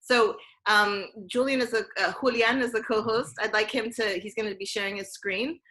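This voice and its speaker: A woman hosting and introducing an online event over a video call, speaking informally and haltingly as she thinks aloud. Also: fairly high pitch, fairly even intonation, sharp tone, rough recording. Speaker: event host from a photography foundation.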